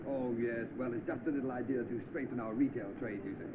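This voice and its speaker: A man's voice: medium pitch, steady tone, fast talker, close to an everyday voice. An actor playing an earnest, self-doubting salesman in an old film drama, polite, hesitant and easily flustered. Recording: tinny, noisy old film soundtrack.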